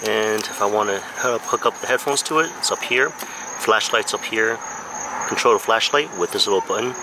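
A man's voice: fairly low pitch, moderate pace. An American man in his thirties giving a casual, informal hands-on product review outdoors, with a plain, slightly hesitant way of talking. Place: backyard deck, outdoors. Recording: outdoor noise with insects and traffic.

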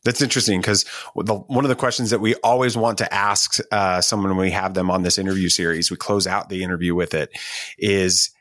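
A man's voice: deep voice, steady tone, a little fast, fairly bright tone, no room sound, clean studio sound. A man hosting a podcast, talking to listeners in an interview and sign-off style. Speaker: podcast host.

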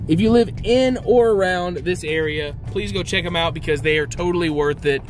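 A man in his thirties with a somewhat high voice talks straight to a handheld camera, first from a parked car and then a hotel room. He tells his story in an excited, rambling way. Faint music sits underneath. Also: normal speed. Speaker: vlogger. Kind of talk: casual vlog. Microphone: handheld camera at arm's length.

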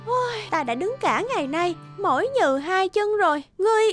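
A woman's voice: extremely high pitch, lively intonation, normal speed. A woman voicing a vain, scheming young maidservant who poses as a princess in a cartoon fairy tale, haughty and falsely sweet. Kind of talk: animated fairy-tale character acting. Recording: music under the voice.

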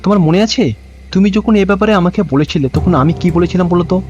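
A man acts out a gentle young husband in a cartoon drama, reassuring and earnest. His voice sits high, and the recording is dull with music behind it. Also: fast, close to an everyday voice.

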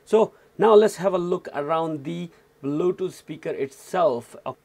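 Male product reviewer, slightly high pitch, informal hands-on demo delivery. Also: unhurried, a little mellow, husky. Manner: informal, repeatedly addresses viewers as guys. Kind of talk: hands-on gadget review demonstration. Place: indoors at a desk.

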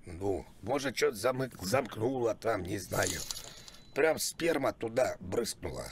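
A man voicing a crude, rambling older customer on a prank phone call, husky, repetitive and mock-apologetic. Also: lowish voice, expressive, a little slow. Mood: earnest, rambling. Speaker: prank caller.

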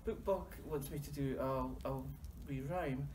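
A man with a Doric Scots accent leading young children through a finger-counting rhyme in a library, gently chatting to a soft toy between verses. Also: medium pitch, measured pace, slight background noise. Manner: gently coaxing, talks to a toy. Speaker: library storytime leader. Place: library reading corner.

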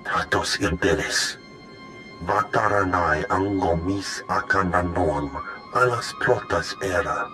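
A very low, gruff male alien talks slowly and weightily, as scripted game dialogue with some music underneath. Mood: grave. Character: gruff alien male speaking an invented tongue. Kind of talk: scripted video game acting.

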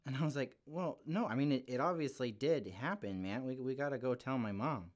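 Male, medium pitch, playing an earnest young puppet character; informal, conversational storytelling for children. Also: a little fast. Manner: chatty, earnest, informal with frequent "like". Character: Douglas, earnest friendly young male green puppet kid. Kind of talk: casual storytelling devotional for children.

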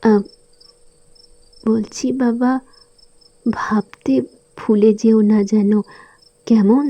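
A woman's voice: on the high side, steady tone, drawn-out words, dark tone, dry recording, lo-fi sound. A woman acting an outspoken young married daughter in a sad audio drama, emotional and pleading, speaking with precocious earnestness. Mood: timid, coaxing.

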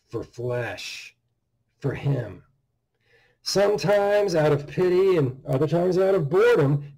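A man in his fifties giving a dramatic, theatrical reading of a horror story, with measured delivery and deliberate pauses, recorded at a desk in a small room. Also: on the high side, a little mellow, poor audio. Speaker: author reading own story. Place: small indoor room at home.

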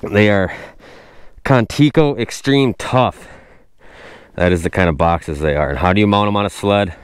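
A man hosting a casual fishing vlog outdoors on a frozen lake, recorded on a wearable camera, exclaiming excitedly at each catch. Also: on the low side, normal speed, clean tone, no room sound, studio quality. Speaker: host. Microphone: wearable action camera.

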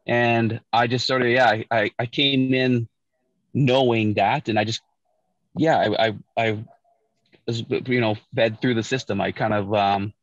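A middle-aged man from Saskatchewan, Canada, talks through a video call from a parked car. He tells his story in a relaxed way, restarting sentences often and leaning on fillers. The audio is dull, muffled and close, with no room sound. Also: mid-range voice, unhurried, no echo. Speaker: Olympic bobsledder guest. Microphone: video call, laptop or phone camera.

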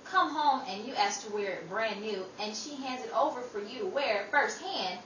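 A woman's voice: a little high, moderate pace, a bit bright, close to an everyday voice. A woman playing a caring, exasperated older sister in an old time radio drama, with theatrical delivery. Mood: alarmed, scolding. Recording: distant, echoey room with faint noise.

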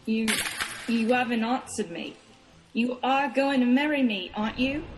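Woman acting an anxious, high-voiced young maid. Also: unhurried, over music, natural voice, poor audio. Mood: anxious pleading. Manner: timid, stammering, pleading. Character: anxious, lovesick, pleading young servant woman. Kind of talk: radio mystery drama acting.

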